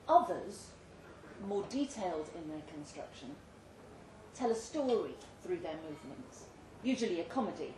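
A British woman reading a literary short story aloud at a live event, with expressive, measured and dramatic phrasing. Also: medium pitch. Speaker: story reader. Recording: roomy live venue with faint background noise.

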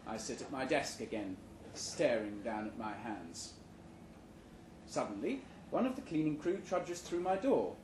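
A man reading a first-person short story aloud at a live event in a measured, dry manner. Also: medium pitch, very animated, darkish tone. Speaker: story reader. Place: indoor live reading event before an audience. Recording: roomy live venue, background noise.

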